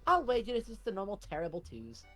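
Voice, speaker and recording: Woman putting on a warm old auntie type, low and soothing, lively pitch. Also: fast talker, soft background music. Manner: soothing, motherly.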